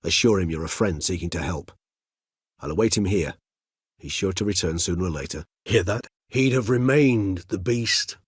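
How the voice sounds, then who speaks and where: An actor voicing an erudite, gentle, world-weary old vampire scholar in a video game, speaking in measured, courtly, bookish phrases. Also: male voice, deep voice, breathy edge, no room sound, clean studio sound. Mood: grave, reflective. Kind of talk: video game character dialogue.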